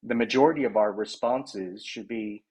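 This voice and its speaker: A man with a low, husky voice hosts a virtual session and interviews a guest. He speaks haltingly, with frequent ums and restarts, over a video call microphone. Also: darkish tone. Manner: halting, many fillers and restarts. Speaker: interviewer and project founder.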